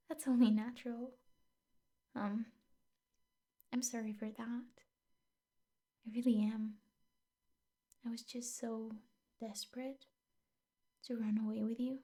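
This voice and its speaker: A woman playing a possessive, obsessive yandere teacher girlfriend in an ASMR roleplay, speaking softly and often whispering, coaxing and doting with menace underneath. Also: a little high, level tone, slow pace, long pauses. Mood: sweetly possessive. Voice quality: soft, breathy, often whispering. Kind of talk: ASMR roleplay, intimate scripted monologue.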